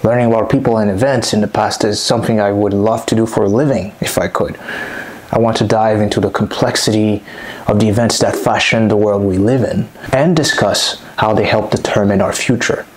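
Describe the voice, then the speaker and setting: A man in his thirties from Congo, a native French speaker, giving an earnest, measured vlog monologue into a lapel mic in a small dim room at home. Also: lowish voice, steady tone, low-quality recording. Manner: measured, earnest, reflective delivery. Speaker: vlogger. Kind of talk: scripted personal vlog monologue. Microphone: clip-on lapel mic.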